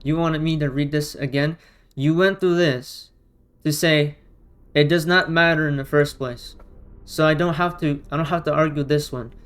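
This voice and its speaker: A man with a fairly high, clean, smooth voice gives combative online commentary. He is a non-native speaker with a Romanian or Russian first language. He sounds exasperated and presses his points with emphatic rhetorical questions. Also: fairly even intonation, normal speed, fairly bright tone. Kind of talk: argumentative response commentary.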